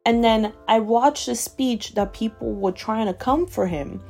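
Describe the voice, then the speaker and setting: A woman's voice: a little high, unhurried, gravelly. A woman in her twenties, from Ecuador with Spanish as her first language, hosting a casual podcast and ranting emphatically, often tagging on "okay?". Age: 20s. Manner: emphatic and animated, keeps asking "okay?". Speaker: podcast host. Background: faint chill background music.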